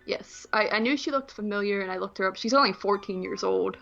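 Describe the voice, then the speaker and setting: Woman chatting casually, slightly gravelly voice. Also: mid-range voice, a little fast, a bit bright, muffled recording, soft background music. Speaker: movie podcast co-host. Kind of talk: casual movie chat.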